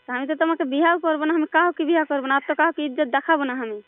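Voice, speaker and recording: High-pitched woman with rural Bengali dialect, phone line. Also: racing, dry recording. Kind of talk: casual flirtatious phone chat. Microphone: telephone. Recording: muffled narrow-band phone call.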